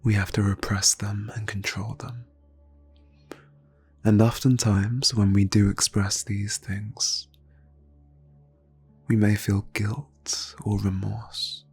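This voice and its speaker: Male ASMR meditation guide: very breathy, low, slow and soothing, faint music underneath. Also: flat delivery. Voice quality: breathy, hushed. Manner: slow, soothing, deliberate phrasing with long pauses. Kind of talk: ASMR guided meditation, soft-spoken, often near whisper.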